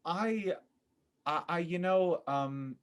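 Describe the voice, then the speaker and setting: A man speaking as a podcast guest in a casual interview, earnest and effusive, often pausing to think. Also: sits high, clear, resonant tone. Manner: earnest, effusive, halting with thoughtful pauses. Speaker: podcast guest, theater performer.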